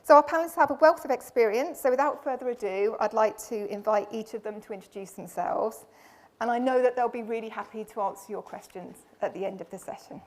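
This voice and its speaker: A woman with a slightly high voice moderates a live conference panel. She sounds friendly and reassuring and adds light jokes between her introductions. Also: brisk. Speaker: panel host, charity deputy chief executive. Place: conference room with audience.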